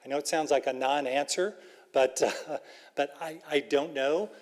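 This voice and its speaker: A man's voice: a little high, fairly even intonation, normal speed. Man giving careful answers in an echoing hall. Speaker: school representative. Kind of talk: answering questions at a public workshop.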